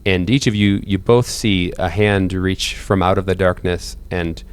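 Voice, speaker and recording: Low-voiced man narrating haltingly. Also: steady tone, clean tone. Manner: halting, hesitant, measured storytelling. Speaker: game master. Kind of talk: improvised tabletop game narration.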